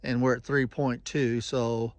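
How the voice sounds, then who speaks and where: A man's voice: medium pitch, level tone, measured pace, no room sound. A man giving casual, plain-spoken running commentary and making radio check calls in a folksy way. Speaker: vlogger testing walkie-talkies.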